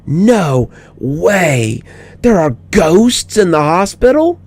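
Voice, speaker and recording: A man's voice: sits high, sing-song, takes it slowly, darkish tone, voice pushed up, exaggerated voice. A man voicing a mischievous, curious six-year-old girl in a horror story reading, with a pert, inquisitive, childlike manner. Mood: excited.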